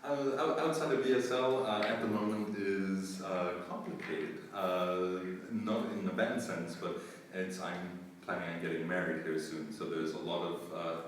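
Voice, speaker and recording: A middle-aged man from the United States, a school administrator answering interview questions in an earnest, measured way with frequent pauses. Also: deep voice, fairly even intonation. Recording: roomy echo, distant mic, faint noise.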